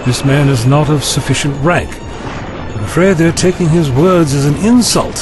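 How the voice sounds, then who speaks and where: A man's voice: fairly high pitch, normal speed, over music, lightly acted. A man playing a courteous, wry time-travelling eccentric with lost memory, speaking formally in an audio drama. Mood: thoughtful, puzzled. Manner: formal, wordy, inquisitive.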